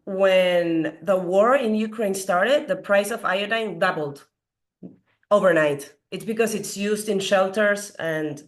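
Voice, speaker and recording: A middle-aged woman from Peru gives an earnest health lecture as a doctor. Her voice is slightly hoarse from a recent cold, and she asks her audience questions with frequent fillers. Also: medium pitch, slow. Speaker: doctor giving a health talk. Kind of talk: explanatory lecture.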